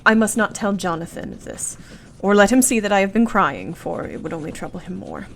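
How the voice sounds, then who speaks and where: A woman's voice: mid-range voice, brisk, slight background noise, close to an everyday voice. A woman acting an earnest, anxious young Victorian wife in a radio play, devoted and thoughtful, with formal, precise period phrasing. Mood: anxious, regretful.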